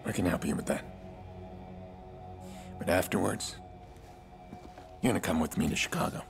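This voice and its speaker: Grim vigilante, deep gravelly voice, terse, guarded, game cutscene, loud music. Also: male voice, normal speed. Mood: grim, determined. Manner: terse, controlled. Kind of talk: video game cutscene acting. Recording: loud music behind the dialogue.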